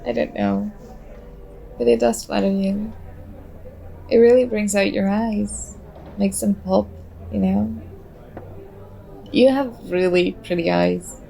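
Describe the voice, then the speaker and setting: A woman's voice: mid-range voice, lively intonation, takes it slowly, creaky, pauses often, warm tone, full character voice, low-quality recording. A woman playing a very shy, nervous young stranger in an ASMR roleplay, talking hesitantly and self-consciously as she overthinks. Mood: tentative, flattering. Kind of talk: ASMR roleplay, soft conversational acting.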